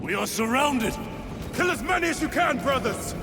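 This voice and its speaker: An actor playing a battle-hardened space marine soldier in a video game, barking commands in combat. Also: male voice, very high-pitched, lively intonation, normal speed, very noisy. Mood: urgent. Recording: voice under loud battle music.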